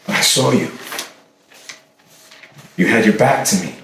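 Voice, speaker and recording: An actor playing an anguished man haunted by obsessive love, in an intense, halting theatrical monologue. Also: fairly low pitch, expressive, gravelly, fairly bright tone, exaggerated voice. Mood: haunted, hesitant. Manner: intense, halting, with repetitions and sudden swells. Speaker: stage actor. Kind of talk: theatrical staged reading, dramatic monologue. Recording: echoey room from far off, faint noise.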